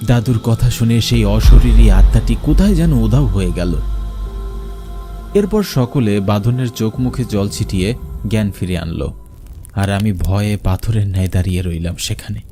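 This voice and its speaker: A man's voice: on the low side, lively intonation, moderate pace, dark tone. A man narrating a scripted first-person horror story in a dramatic, suspenseful way. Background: faint music.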